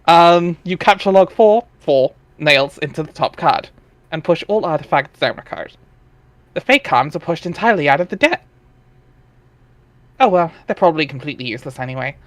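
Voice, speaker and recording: A man's voice: fairly high pitch, expressive, normal speed, dry recording. A man narrating a comic read-aloud in a casual way, chatting between lines, on a headset mic. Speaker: narrator of a webcomic dramatic reading.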